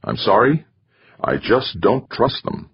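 A man voicing an earnest young woman scientist who speaks softly. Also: medium pitch, sing-song, takes it slowly, slightly rough, dry recording, highs cut off, voice pushed up, exaggerated voice.

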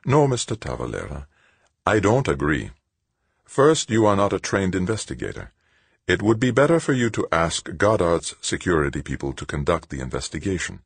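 A man's voice: low-pitched, sing-song, normal speed, creaky, breathy edge, no room sound, full character voice. A man voicing a firm, controlling woman, a bishop's assistant, who speaks formally and with authority in an audiobook.

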